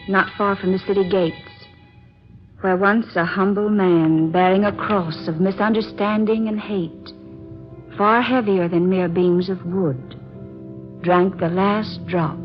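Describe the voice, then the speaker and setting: A medium-pitched, earnest female traveler played by an actress, caring and deliberate, acted in theatrical 1940s radio style. The vintage recording is muffled, with music underneath. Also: natural voice. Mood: solemn, dramatic. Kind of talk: 1940s American radio drama acting.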